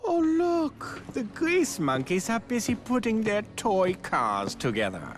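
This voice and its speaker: An actor playing a sly, arrogant villainous racer in a video game, with a mocking, theatrical way of talking. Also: male voice, sits high, wildly swooping pitch, takes it slowly, over music, full character voice. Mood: mocking, menacing. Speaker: rival racer working for a crime boss. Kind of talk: video game cutscene acting.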